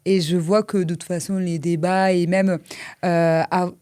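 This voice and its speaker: A woman's voice: lowish voice, little pitch movement, unhurried, warm tone, clean tone. Woman podcast host asking questions. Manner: friendly, probing questions. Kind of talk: casual interview.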